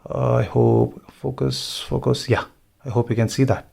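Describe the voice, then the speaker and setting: A man's voice: medium pitch, little pitch movement, measured pace, creaky, warm tone. A man giving an informal hands-on tutorial, thinking aloud as he works. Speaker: motovlogger demonstrating gear installation. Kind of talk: casual hands-on tutorial narration.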